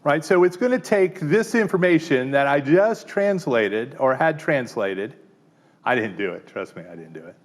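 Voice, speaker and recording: A man presenting a live teaching workshop, talking casually with frequent fillers and quick "right?" check-ins to the room. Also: mid-range voice, expressive. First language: English. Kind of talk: live tech workshop demo.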